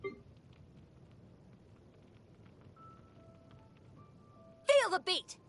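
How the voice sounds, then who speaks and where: A woman's voice: extremely high pitch, bright, forceful tone, heavily acted. An actress voicing an upbeat, brash young female rock musician in a video game, with slangy, exuberant, hammy delivery. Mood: fired up. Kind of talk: video game character voice lines.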